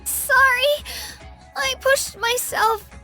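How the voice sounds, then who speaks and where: A woman's voice: very high-pitched, very slow, sharp tone, soft background music, exaggerated voice. A woman voicing an earnest, eager young girl adventurer in a video game, girlish and sincere, easily flustered. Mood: strained, determined. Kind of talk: video game character voice lines.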